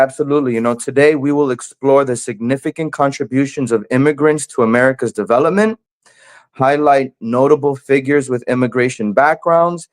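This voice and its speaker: Male talk show host, medium pitch, upbeat presenting style for a community interview show.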